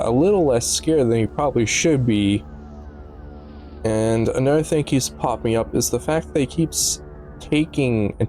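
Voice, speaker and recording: A man's voice: mid-range voice, dark tone. A man giving casual, analytical video commentary in a musing, slightly stumbling way, with offhand asides. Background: background music.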